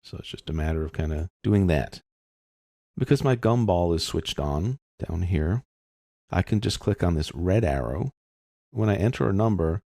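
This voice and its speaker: A man narrating a step-by-step software tutorial in an informal, explanatory way, thinking aloud as he works. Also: low voice, no echo, studio quality.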